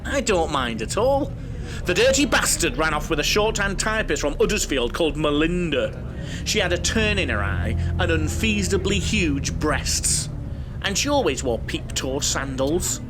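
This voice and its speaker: A man's voice: a little high, sing-song, a little slow, music in the background. An actor playing a naive, lonely, chatty middle-aged man with a Northern English accent in an audio play, blunt and earnest, given to comic oversharing. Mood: chatty, candid.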